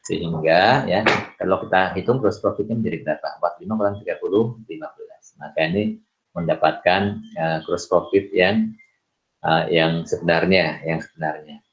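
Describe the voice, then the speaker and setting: Low-pitched man lecturing, dull recording. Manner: halting, often repeats words and checks with "ya". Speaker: accounting lecturer. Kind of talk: explanatory lecture with worked examples.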